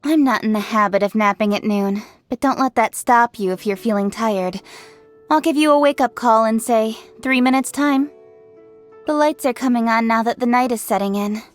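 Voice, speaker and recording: An actress voicing a driven, confident young woman official in a video game, speaking in a brisk, forthright way. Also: on the high side, faint music behind, lightly acted. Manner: brisk, forthright and self-assured. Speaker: game character voice lines. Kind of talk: game voice-over.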